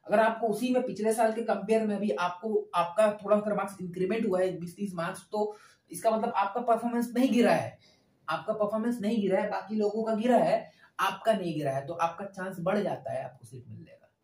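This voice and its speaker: A man's voice: high-pitched, a little fast, a little mellow. A young man teaches in an informal, conversational way, going back over each point and tagging sentences with little checks. He is recorded in an echoey room, a little distant from the microphone. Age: 20s. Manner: conversational, repeats points and often checks with tag words. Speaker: exam coaching teacher. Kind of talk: informal whiteboard explainer lesson. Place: small indoor room at a whiteboard. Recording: roomy echo, distant microphone.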